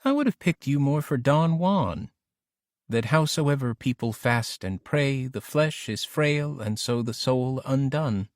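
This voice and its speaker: Man playing audiobook woman, sly, teasing, literary, guarded, lifted pitch, dry recording. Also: animated, a little slow, no echo, lightly acted. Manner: teasing, quoting poetry. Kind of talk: audiobook character dialogue.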